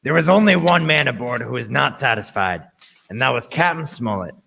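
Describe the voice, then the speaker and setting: A man's voice: medium pitch, animated, a little fast, vocal fry, bright, forceful tone, husky, exaggerated voice. A man playing a cabin boy who narrates a sea adventure in a radio play, in scripted storytelling style.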